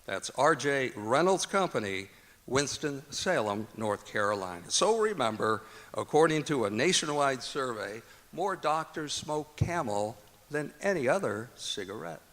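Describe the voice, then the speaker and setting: A man's voice: mid-range voice, sing-song, unhurried, a bit bright. An American man working as announcer for a stage radio show, delivering scripted copy in an old-time radio announcing style. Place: performing arts auditorium stage, live performance. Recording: long hall reverb, music behind, live auditorium.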